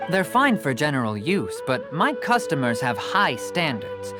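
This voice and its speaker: A man's voice: fairly high pitch, sing-song, measured pace. An actor playing a jovial, persuasive merchant with salesman patter, in video game dialogue. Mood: insistent. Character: smooth-talking adult male merchant, jovial salesman. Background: game music.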